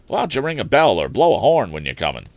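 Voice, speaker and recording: An indignant, ragged storybook tramp voiced by a man reading aloud grumbles in rough, ungrammatical slang. The delivery is animated and complaining, with wide swings in pitch and a dry sound. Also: quick pace, dry recording. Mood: angry, indignant. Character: angry ragged male tramp, gruff and complaining.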